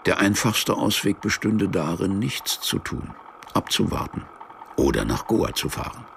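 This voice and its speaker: Sombre radio play narrator, bass-deep, heavy vocal fry, deliberate, music behind. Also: male voice, very animated, a bit bright, slight background noise, exaggerated voice. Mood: sombre, detached. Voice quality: gravelly, creaky. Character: sombre male literary narrator, detached and brooding. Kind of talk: scripted narration.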